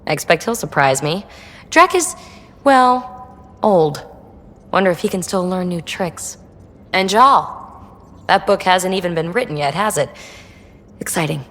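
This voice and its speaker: Lively, cheeky explorer in reverb. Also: female voice, on the low side, unhurried, long pauses. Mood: musing, curious. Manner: talkative, flippant, animated. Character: chatty, cheeky, secretive female explorer scientist. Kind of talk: video game character dialogue.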